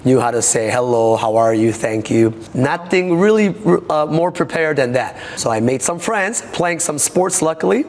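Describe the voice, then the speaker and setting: Korean-accented man, halting speech. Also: medium pitch, lively intonation, darkish tone, in a large hall. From South Korea. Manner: halting, many uh fillers, nonnative grammar. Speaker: taekwondo master. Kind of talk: interview.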